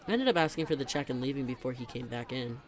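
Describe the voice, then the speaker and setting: A man's voice: mid-range voice, lively intonation, quick pace, natural voice. A young man playing a teasing, chatty best friend in a conversational audio roleplay, talking in a casual, emphatic way. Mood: exasperated venting. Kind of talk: conversational scripted roleplay monologue.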